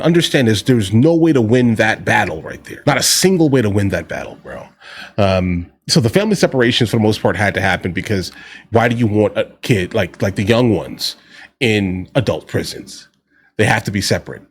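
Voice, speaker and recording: A man's voice: medium pitch, husky. A man giving casual, slangy commentary to his audience, with exasperated rhetorical questions. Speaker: reaction video commentator.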